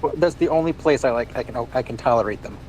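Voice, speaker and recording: A man with an ordinary mid-range voice chats casually. He speaks haltingly and often repeats a word before he gets to the point. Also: mid-range voice, a little mellow, slight background noise, rough recording. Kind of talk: casual chat.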